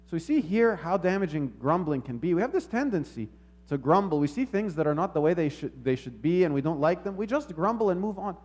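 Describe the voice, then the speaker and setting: A man's voice: mid-range voice, darkish tone. A man preaching a sermon in an instructive way, repeating phrases and checking in with short questions. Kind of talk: sermon with scripture readings and stories.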